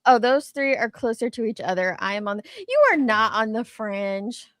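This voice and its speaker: A woman's voice: fairly high pitch, sing-song, moderate pace, fairly bright tone, no echo, clean studio sound. A woman chatting casually as a co-host, blunt and gossipy, swearing freely and reading comments out dramatically. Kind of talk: casual chat with dramatic read-outs.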